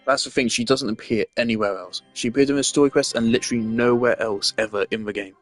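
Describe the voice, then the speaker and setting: A man's voice: medium pitch, fairly even intonation, quick pace, faint music behind. Casual, rambling male game streamer. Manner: rambling, thinking aloud. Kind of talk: casual gameplay commentary.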